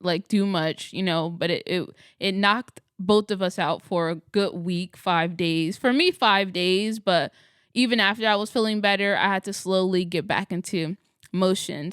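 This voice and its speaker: Chatty young woman with a smooth voice. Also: on the low side, moderate pace, fairly bright tone, dry recording, clean studio sound. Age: 20s. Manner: rambling, chatty, self-correcting with frequent laughs. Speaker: astrology vlogger. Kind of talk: casual chat to camera.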